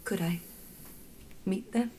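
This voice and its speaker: An actress playing a tender, sorrowful woman in a fantasy video game, speaking gently and hesitantly. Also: medium pitch, slow pace, a little hiss or hum, lightly acted, poor audio. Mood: tentative, sad.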